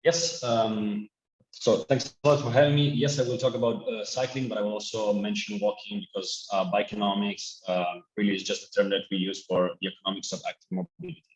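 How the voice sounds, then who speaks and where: A man's voice: lowish voice, fairly quick. A man with an Italian accent, giving an earnest online conference talk with frequent restarts. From Italy. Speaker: presenting speaker. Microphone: video call. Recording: echoey, muffled video call.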